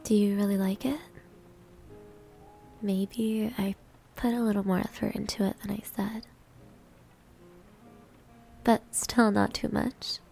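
Woman acting a breathy, creaky-voiced tsundere maid. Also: mid-range voice, flat delivery, slow pace, pauses often, dark tone, soft background music. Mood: flustered, coy. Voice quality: breathy, often whispering. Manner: coy, grudging, flustered. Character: proud, flustered tsundere maid with hidden soft side. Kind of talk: ASMR roleplay acting.

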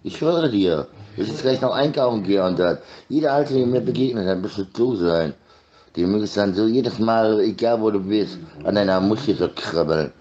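A man's voice: on the low side, moderate pace, dark tone. A middle-aged man in a rambling, insistent and repetitive informal monologue, talking into a phone. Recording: dull lo-fi phone audio.

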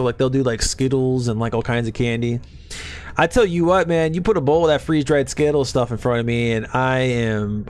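A man from Arkansas in his thirties with a light Southern accent, streaming a game from a home gaming room and chatting loosely with viewers, swearing often. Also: medium pitch. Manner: loose, profane, laid-back banter. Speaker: Twitch streamer. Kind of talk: casual live-stream chat with chat.

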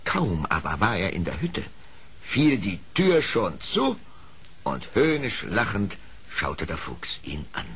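Male narrator, deep voice, animated storytelling, scripted radio play, slight background noise. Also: slow, slightly rough. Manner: expressive, storytelling.

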